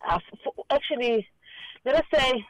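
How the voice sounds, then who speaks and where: A woman's voice: sits high, expressive, normal speed, no room sound, muffled recording. South African woman in her forties. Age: 40s. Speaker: caller. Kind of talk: radio phone-in interview.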